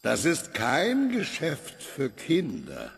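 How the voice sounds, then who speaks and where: A grandiose, jovial Santa Claus from an audio drama. He declaims slowly and with big swings of pitch. The voice rings in long reverb over music. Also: wildly swooping pitch, gravelly, husky, exaggerated voice. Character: booming, theatrical Santa Claus rallying boys.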